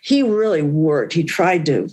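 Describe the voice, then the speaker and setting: An older woman talks as a guest in a relaxed conversation. She tells fond stories in a loose, rambling way, circling back with "you know" as she goes. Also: low voice, unhurried. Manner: chatty, fond storytelling with many "you know"s. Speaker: guest, widow of a puppeteer. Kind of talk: casual reminiscing conversation.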